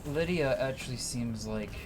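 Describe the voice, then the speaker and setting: A playful streamer talks through a live game in a slangy, casual way, with mid-range pitch. Dialogue gets read aloud in a hammy style, while game music plays clearly behind the voice. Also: normal speed, rough recording. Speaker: streamer, host of a gaming show. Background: video game music.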